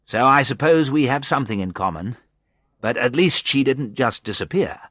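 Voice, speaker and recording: An easygoing, agreeable British expat acted by a male narrator in a storybook. He speaks reflectively, with lively rises and falls and a dry, close sound. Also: mid-range voice, moderate pace, no room sound, voice pushed up. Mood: wistful, reflective. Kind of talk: audiobook character dialogue.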